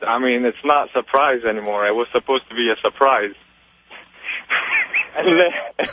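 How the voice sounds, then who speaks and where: A man's voice: mid-range voice, dry recording. Young man on a tinny phone line. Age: 20s. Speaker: radio show caller. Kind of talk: casual storytelling.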